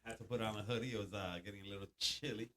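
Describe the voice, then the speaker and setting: A man's voice: lowish voice, steady tone, racing, a bit bright. A man in his thirties, a podcast guest, chatting casually, laughing often and swearing freely. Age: 30s. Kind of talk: casual chat.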